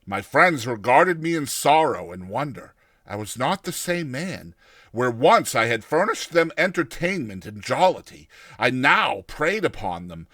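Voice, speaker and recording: A man reading a classic short story aloud as a dramatized first-person narration, with a theatrical, expressive delivery. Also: mid-range voice, no echo.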